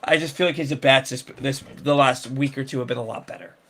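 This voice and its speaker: A man's voice: medium pitch, fairly even intonation, fast, a bit bright. A man hosting a casual sports talk show, rambling with many restarts and fillers. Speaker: sports podcast host.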